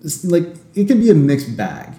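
A man's voice: fairly high pitch, wildly swooping pitch, moderate pace, mellow, reverberant. A man hosting a podcast, chatting casually and joking, often laughing as he rambles. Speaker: podcast host.

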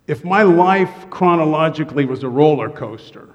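A man's voice: fairly high pitch, lively intonation, moderate pace, highs cut off. A middle-aged man preaching a humorous sermon to his congregation from a church stage, with joking, self-deprecating asides. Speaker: pastor. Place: church sanctuary. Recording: long reverb of a church hall.

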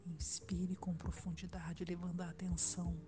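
A Brazilian woman guiding a relaxation meditation in a soft, soothing way, with long pauses and breathy phrase endings. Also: fairly low pitch, level tone. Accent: Brazilian Portuguese. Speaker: meditation guide. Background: background music.

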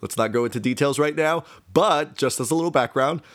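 A man's voice: fairly high pitch, brisk. A young man from talks casually about himself, thinking aloud in a rambling, hesitant way. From Miami, United States. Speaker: speaker telling about own life. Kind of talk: casual personal monologue.

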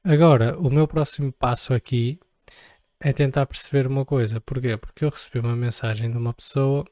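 A man's voice: mid-range voice, steady tone, brisk, warm tone, dry recording, clean studio sound. A young man from Portugal with a European Portuguese accent, chatting casually to camera in a joking, rambling way. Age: young adult. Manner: joking, rambling, playful asides. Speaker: vlogger.

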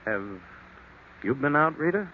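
A man's voice: on the high side, very animated, a little slow. A male actor playing a confused, forgetful, apologetic husband in an old-time radio mystery, speaking in a hesitant, faltering way. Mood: weary, apologetic. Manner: hesitant, faltering, repeats himself. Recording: tinny, muffled old radio broadcast.

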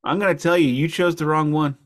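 A man's voice: a little high, steady tone, brisk. A man co-hosting a music podcast, talking in a casual, explanatory, storytelling way. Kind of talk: casual album discussion.

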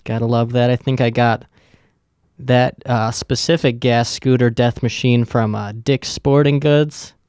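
Profane, rambling young podcast host. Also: male voice, mid-range voice, fairly even intonation, a little slow, darkish tone, smooth, no room sound, clean studio sound. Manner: profane, joking, loose and rambling. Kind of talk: casual rambling monologue.

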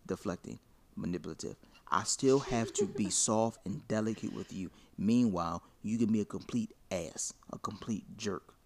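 A man with a low, gravelly voice argues his side bluntly in a casual chat. He speaks emphatically and swears freely, and never softens his point. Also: normal speed, noisy background. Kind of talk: heated casual debate.

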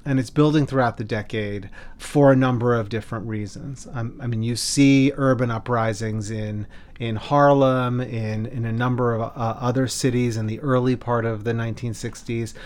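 A man speaking as a scholar in an interview, explaining history in a measured, emphatic way with deliberate lists. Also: medium pitch, fairly even intonation. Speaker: scholar, expert commentator.

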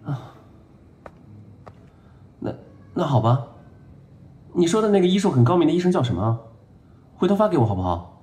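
A man playing a flustered, lovestruck young patient in an audio drama, hesitant and stammering, given to melodramatic excitement. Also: on the high side, normal speed, pauses often. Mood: reluctant, timid. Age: young adult. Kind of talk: audio drama acting with inner monologue. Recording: roomy echo, music behind.

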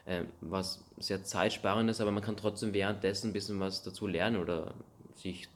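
A young man hosting a podcast, asking questions in a friendly, casual way with slang and halting restarts. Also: sits low, steady tone, slight background noise. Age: young adult. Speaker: podcast host. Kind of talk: casual interview chat.

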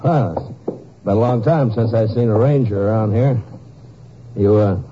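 A man's voice: lowish voice, moderate pace, lightly acted. A man playing an evasive middle-aged crime suspect, hesitant and stammering, in a 1950s radio drama. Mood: feigned ease. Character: evasive, nervous middle-aged soft-faced suspected hijacker. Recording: muffled, hissy old radio recording.

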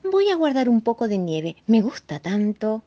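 A woman's voice: on the high side, sing-song, normal speed, warm tone, no room sound, pitched above the performer's own voice. A woman voicing an eager little boy bunny who talks. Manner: eager, childlike. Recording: dull lo-fi recording.

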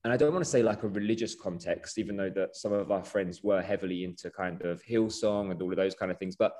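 Male podcast host with a lowish voice; warmly effusive, winding, earnest questioner. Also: fast. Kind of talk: casual podcast interview.

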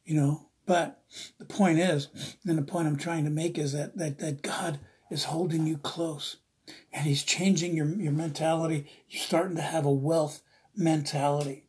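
A preacher from the East Coast of the US with a very husky, rough-edged voice and a medium pitch. The delivery is informal and devotional, earnest and rambling, circling back to repeat key phrases. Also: fairly even intonation, normal speed, darkish tone. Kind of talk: informal devotional Bible teaching.

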